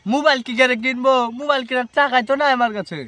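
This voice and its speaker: A man's voice: very high-pitched, fairly even intonation, racing, very noisy. A man playing an aggrieved, grumbling village uncle in an amateur comedy skit, wailing. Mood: exasperated.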